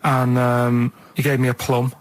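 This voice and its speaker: A man's voice: medium pitch, monotone, measured pace, background noise. A man co-hosting a radio show, telling rambling anecdotes in a blunt, colloquial, casual way.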